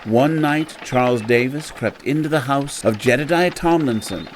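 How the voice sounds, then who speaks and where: A man acting as a male tour guide in a horror audio drama. He tells grim local history in a measured, grave storyteller's way, over faint outdoor noise. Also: mid-range voice, natural voice. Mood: grave storytelling.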